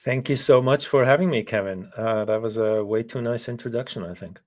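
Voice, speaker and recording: A man's voice: medium pitch, brisk, darkish tone. A middle-aged man from Switzerland, a business professor, answering in a thoughtful, modest way with hesitant restarts. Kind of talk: podcast interview.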